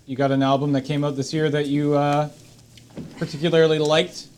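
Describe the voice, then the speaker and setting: Halting, informal man hosting. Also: fairly high pitch, little pitch movement, a little mellow, a little hiss or hum. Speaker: host. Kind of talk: casual group chat, prompting each guest.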